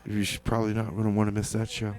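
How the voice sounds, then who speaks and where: A man's voice: on the low side, completely flat delivery, quick pace, a little mellow. A man hosting a music radio show with casual, hesitant, tongue-in-cheek patter, often repeating phrases for effect. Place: radio studio.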